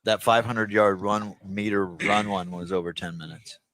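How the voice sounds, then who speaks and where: A man's voice: fairly low pitch, fairly even intonation, normal speed, a bit bright, dry recording. A man hosting a podcast, chatting crudely and jokingly and reading out chat comments. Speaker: podcast host.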